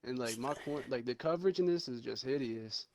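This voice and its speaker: A man's voice: medium pitch, a little fast, slight background noise. A man in a casual group call, complaining bluntly and cursing about a game. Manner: blunt, profane, animated complaining.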